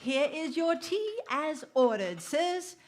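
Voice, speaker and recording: A woman plays a housekeeper in radio drama, deferential yet chatty. Her voice is high and very sing-song over slight background noise, with a polite, gossipy manner. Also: sing-song, slow pace, heavily acted. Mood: helpful, chatty.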